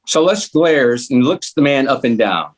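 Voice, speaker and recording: A man reading a screenplay's scene headings and action lines as narrator at a table read, in a measured, plain way. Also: mid-range voice, animated, breathy edge. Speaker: stage directions reader.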